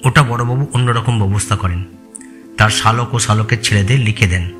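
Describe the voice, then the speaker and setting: A man's voice: lowish voice, moderate pace, natural voice. A man acting a loyal, respectful long-time employee in an audio story, giving plain deferential explanations. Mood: respectful, reminiscing. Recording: music bed behind the voice.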